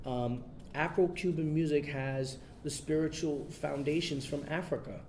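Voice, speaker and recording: A man being interviewed, talking in a relaxed way with many fillers and restarts. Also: fairly high pitch, measured pace, slight background noise. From United States. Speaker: interview guest, son of a famous bandleader. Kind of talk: casual interview.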